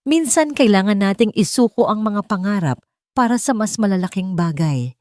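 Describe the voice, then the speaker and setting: A woman voicing a gentle, wistful gentleman heir and employer in an audio story. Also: mid-range voice, lively intonation, moderate pace, dry recording, studio quality, voice pushed up, natural voice. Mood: wistful, resigned.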